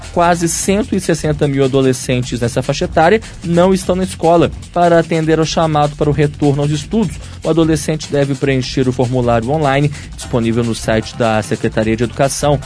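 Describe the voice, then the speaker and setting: Steady radio news announcer, man. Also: on the high side. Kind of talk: scripted news reading.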